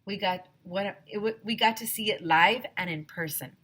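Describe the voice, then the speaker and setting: A woman teacher reading a children's story aloud expressively, with an animated, emphatic manner, addressing young listeners directly. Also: medium pitch, normal speed, slight background noise.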